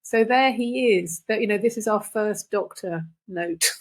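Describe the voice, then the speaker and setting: A middle-aged or older woman talking casually and reflectively in halting phrases, as a guest reader. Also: medium pitch. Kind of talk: casual conversational discussion.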